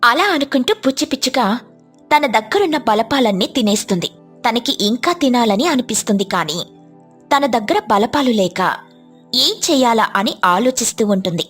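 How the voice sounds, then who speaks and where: A woman's voice: on the high side, expressive, normal speed, fairly bright tone, husky. A woman storyteller reading a scripted children's story narration. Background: music.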